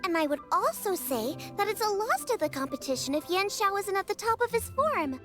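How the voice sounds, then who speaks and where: A woman's voice: extremely high pitch, sing-song, fairly quick. A woman voicing a cheerful, encouraging young girl chef in a video game, with music behind her. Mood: earnest. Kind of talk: English video game voice acting.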